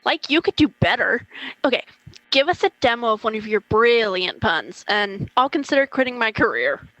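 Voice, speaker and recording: A young woman plays a nosy but hesitant young friend in a radio play. Her voice is fairly high, recorded dry and close. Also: big pitch swings, moderate pace, sharp tone, dry recording, low-quality recording. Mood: curious. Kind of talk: amateur radio play acting.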